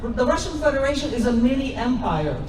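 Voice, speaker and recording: A woman's voice: fairly high pitch, big pitch swings, a little fast. A woman born in Poland giving an impassioned, sarcastic rally speech outdoors. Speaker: rally speaker. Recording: roomy, distant, loud crowd.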